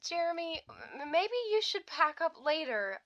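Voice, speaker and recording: A woman's voice: very high voice, big pitch swings, normal speed, fairly bright tone, heavily acted. An actress playing a caring woman on the phone to her partner in an audio drama, casual, tender and a little hesitant, through a telephone filter. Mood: hesitant, reassuring. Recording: muffled phone-call sound.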